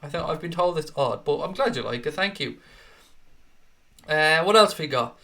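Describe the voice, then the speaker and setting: A man's voice: on the high side, lively intonation, normal speed, creaky, pushed and bright. A young Irish man hosting a casual live stream, chatting with viewers in an upbeat, talkative way with the odd swear. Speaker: host.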